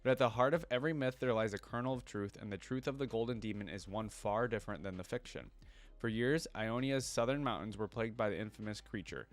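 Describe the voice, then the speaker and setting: Low-pitched man reacting casually. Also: quick pace. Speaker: host of a reaction channel. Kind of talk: casual reaction commentary with reading aloud.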